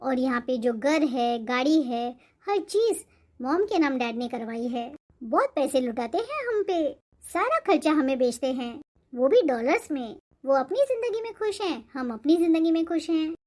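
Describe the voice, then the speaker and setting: A spoiled young cartoon heiress performed by a woman with a very high, girlish voice. She speaks with haughty, coquettish confidence. She boasts and makes demands as if she expects to get her way. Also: big pitch swings, moderate pace, a little mellow. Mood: matter-of-fact, boastful. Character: spoiled, boastful rich young bride-to-be Radhika. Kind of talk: Hindi cartoon moral-story voice acting.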